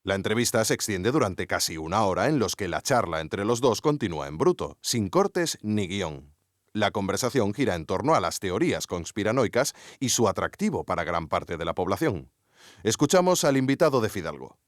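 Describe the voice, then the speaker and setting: A man playing an earnest investigative journalist, narrating a scripted documentary mystery in a deliberate, theatrical way. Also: on the low side, big pitch swings, no echo, studio quality. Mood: intriguing, deliberate. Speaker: podcast narrator and investigative interviewer.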